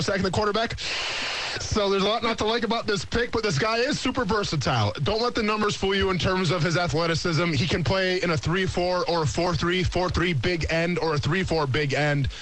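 High-voiced joking sports radio host. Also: male voice, fast talker, a bit bright, a little hiss or hum, rough recording. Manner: rambling, joking, repeats himself. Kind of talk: jokey sports talk show banter.

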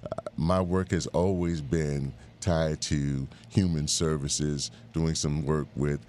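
A middle-aged man speaks as an interview guest in an extremely low voice. His delivery is conversational and halting, with frequent hesitations. Slight noise sits behind him in the studio. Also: fairly even intonation, a little mellow. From the Bahamas and Miami. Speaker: guest, civil rights branch president. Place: live radio studio.

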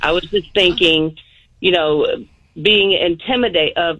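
A woman's voice: lowish voice, a little slow, a bit bright, no echo. A middle-aged woman phoning in to a radio show, telling a personal story in a rambling, earnest way. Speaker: radio call-in guest. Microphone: telephone. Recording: muffled phone call to radio.

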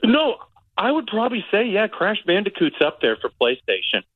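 A man's voice: high-pitched, huge pitch swings, moderate pace, no echo, phone-quality sound. A middle-aged man chatting casually and in a rambling way as a film critic on a radio show, often repeating himself for emphasis. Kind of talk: casual movie review chat.

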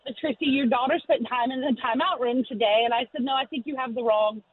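Through a narrow, tinny phone line, a woman tells a family anecdote to a radio show. Her voice is high and conversational. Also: steady tone, fast, slightly rough, dry recording. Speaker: phone-in caller, a mother. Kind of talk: animated personal anecdote. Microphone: telephone.

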